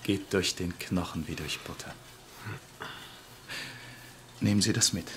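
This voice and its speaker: A man's voice: sits low, a little slow, a bit bright. An actor playing a matter-of-fact male scientist in a radio play, giving instructions in a clinical manner. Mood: clinical, instructive. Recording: noisy, with mechanical device sounds.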